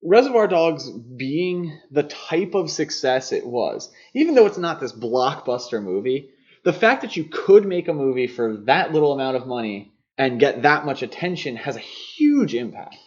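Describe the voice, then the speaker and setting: A man's voice: medium pitch, sing-song, normal speed. Dull-sounding man co-hosting a podcast. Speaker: podcast co-host. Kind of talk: casual film discussion. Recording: dull, muffled lo-fi podcast audio.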